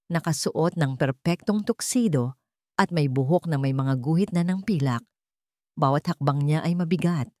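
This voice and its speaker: Low-pitched woman narrating a dramatic story. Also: a little mellow, dry recording, studio quality.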